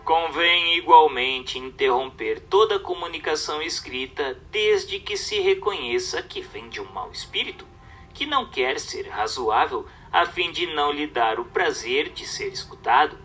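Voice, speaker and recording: A man with a Brazilian accent reading a religious treatise aloud as a narrator, in a formal, measured, didactic way. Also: on the high side, animated, bright, forceful tone, faint music behind.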